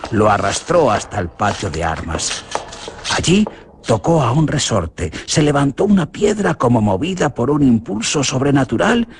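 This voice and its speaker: Man narrating a spooky radio drama, very expressive, lots of hiss in the recording. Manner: theatrical, with big swings in pitch.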